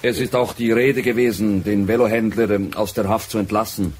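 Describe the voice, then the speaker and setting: Husky, earnest younger man. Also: fairly low pitch, fairly even intonation, fairly quick, a little mellow. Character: earnest, dutiful younger man reporting to his father. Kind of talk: radio drama acting.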